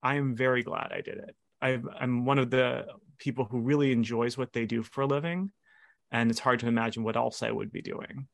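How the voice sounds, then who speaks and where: Relaxed man with a dry close sound. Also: mid-range voice, brisk, gravelly, no room sound. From Hawaii. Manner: relaxed, many fillers and restarts. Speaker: lawyer, guest. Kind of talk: casual interview answers.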